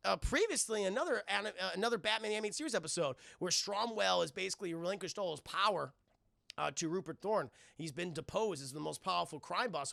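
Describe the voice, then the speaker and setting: A man with a high, gravelly voice talks like an excited streamer recapping a show for his chat. His delivery is animated and very forceful, casual and peppered with swearing. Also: no room sound, clean studio sound. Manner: animated, profane, acts out dialogue casually. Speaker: host of a live stream show review. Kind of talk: lively scene-by-scene recap to a chat.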